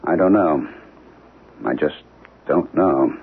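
Radio drama, troubled middle-aged professor: rock-bottom gravelly voice, brooding and terse, thin narrow-band broadcast sound. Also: male voice, a bass voice, slow pace, exaggerated voice. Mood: grave, distant. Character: troubled, haunted archaeology professor, married man with grown children. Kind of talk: 1970s radio drama acting.

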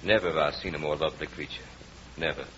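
An actor playing a brooding, jealous plantation master, courtly and melancholy with bitter menace, in a radio drama. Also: male voice, very deep voice, fairly even intonation, quick pace, sharp tone. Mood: melancholy courtesy. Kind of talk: old-time radio drama acting. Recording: muffled, noisy old radio broadcast.

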